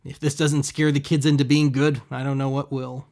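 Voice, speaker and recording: A man's voice: mid-range voice, little pitch movement, normal speed, creaky, a little mellow. A man hosting a thrift-shopping video, giving casual voice-over commentary in a wry, sarcastic manner.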